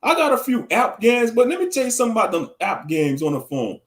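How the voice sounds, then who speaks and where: A man's voice: high voice, sing-song, fast. Male livestream commentator; loose, slangy, preachy ramble while reading the chat. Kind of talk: casual livestream talk answering chat.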